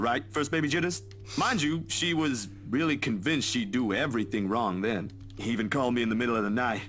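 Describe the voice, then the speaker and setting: A man's voice: a little high, fairly bright tone, slight background noise, close to an everyday voice. An actor playing an easygoing, teasing young male photographer in a visual novel, talking casually and reassuringly to a friend.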